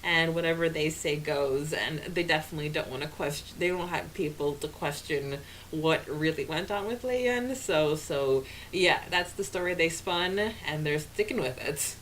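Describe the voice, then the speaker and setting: A woman co-hosting a podcast in casual chat, with a halting delivery that often repeats phrases. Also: sits low, a bit bright, a little hiss or hum, poor audio. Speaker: podcast co-host. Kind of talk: reading out quotes and listener comments.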